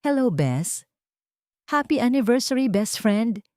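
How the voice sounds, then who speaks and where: A woman's voice: on the high side, unhurried, no echo, clean studio sound, pitched above the performer's own voice. A woman voicing a cheerful, reassuring female best friend in an audio drama, chatty and encouraging. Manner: lively, chummy, encouraging.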